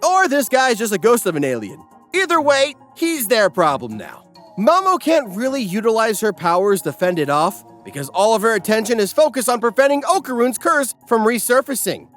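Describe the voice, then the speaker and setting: A man's voice: very high voice, big pitch swings, normal speed, fairly bright tone. A male narrator delivers a comedic scripted recap with big swoops in intonation. He is wry and exaggerated in a mock-dramatic way, over a music bed. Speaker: narrator of an anime parody recap. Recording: music behind the voice.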